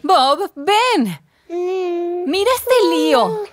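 A woman playing an exasperated working mother in a children's cartoon, with an emphatic, expressive delivery. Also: very high voice, drawn-out words, dry recording, clean studio sound, heavily acted. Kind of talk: animated children's show dubbing. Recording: music behind the voice.

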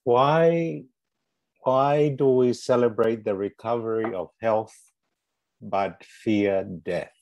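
A man's voice: medium pitch, steady tone, slow, darkish tone, dry recording, dull audio. A middle-aged man giving a scripted academic presentation over a headset on a video call. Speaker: panel presenter. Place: small room indoors, video call. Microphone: earphone headset.

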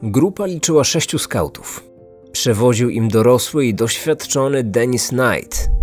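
Male podcast host, scripted true-crime storytelling, lowish voice, quiet music underneath. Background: faint music.

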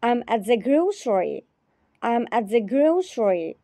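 A woman teacher repeating short phrases slowly and carefully in a repetition drill. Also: fairly high pitch, very animated, dark tone, dry recording. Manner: repeats each phrase three times, carefully.

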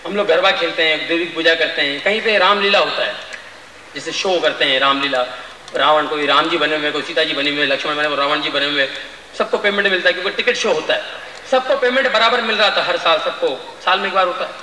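A man's voice: on the high side, fast talker, bright, forceful tone. A middle-aged man doing stand-up, telling a comic story casually into a stand mic on a large stage at night. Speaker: stand-up comedian. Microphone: stand mic, far camera. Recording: echoey distant live stage recording, noisy.

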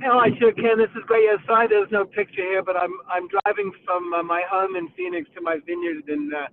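A chatty man in his sixties with a New Zealand accent talks from his car over a tinny phone line. His voice sits a little high, and faint road rumble runs underneath. He speaks in a casual, self-deprecating way. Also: fairly even intonation, a little fast. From United States, raised partly in New Zealand. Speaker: winemaker and former filmmaker. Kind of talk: casual webinar introduction. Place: driving in a car. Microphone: phone while driving.

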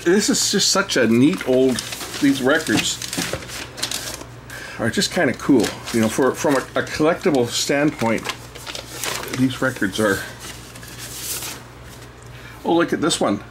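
Male host, casual hands-on show-and-tell, thinks aloud, mid pitch, noisy workbench recording. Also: lively intonation, unhurried, pauses often. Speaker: host showing old records. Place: indoors at a cluttered electronics workbench.

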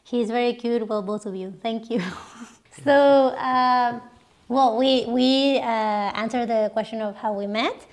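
Young woman, chatty host, a touch high-pitched, camera a few feet off. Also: unhurried. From Mexico, raised partly in Canada. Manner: chatty, laughs often, many restarts. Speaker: channel host. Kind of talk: casual Q&A chat. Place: living room sofa, indoors. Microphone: camera a few feet away.

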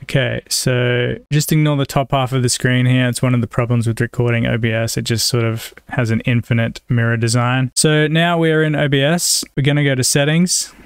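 A man with an Australian accent, giving a casual software tutorial as a voice-over. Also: medium pitch, flat delivery, a little fast, hardly any pauses, clear, resonant tone, no echo, clean studio sound. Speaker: tutorial presenter.

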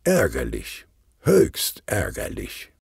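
A man voicing a determined, jovial older police detective uncle who grumbles deliberately in a children's audio story. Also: rumbling low, wildly swooping pitch, gravelly, breathy edge, no echo, studio quality, voice pushed down, exaggerated voice. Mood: annoyed.

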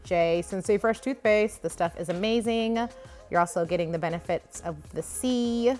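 A woman's voice: medium pitch, normal speed, a little mellow. A woman chatting casually and enthusiastically as she vlogs her shopping, recorded on a handheld phone inside a grocery store. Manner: enthusiastic, gushing over products. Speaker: vlogger giving a grocery haul tour. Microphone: handheld phone behind the camera. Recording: store ambience noise, faint music behind.

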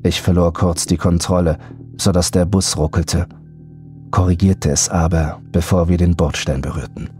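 A man's voice: low-pitched, steady tone, moderate pace, mellow. A man reading a scripted first-person horror story as an audiobook narrator. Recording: background music under voice.

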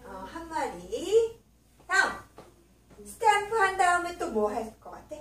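A woman in her thirties teaching English to young children in a small classroom, asking patient coaxing questions and stretching out English words slowly, sometimes singing song lines. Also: extremely high pitch, very animated, a bit bright. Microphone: far camera. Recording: roomy, distant, faint hiss.

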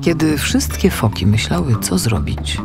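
A man narrating a scripted children's audiobook with expressive storytelling. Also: medium pitch, unhurried, vocal fry, loud music behind the voice.

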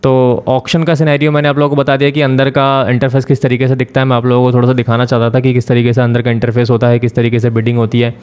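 Man, host, screen-share tutorial, flat even delivery, mid pitch, filler pauses. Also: smooth. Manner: steady explanatory walkthrough with frequent filler pauses. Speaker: channel host explaining online auctions.

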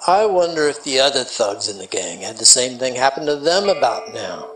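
An actor playing a streetwise sidekick who talks in a quick, casual way. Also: male voice, mid-range voice, very animated, a bit bright, slight background noise, low-quality recording. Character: streetwise young male sidekick, jittery. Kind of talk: radio drama acting.

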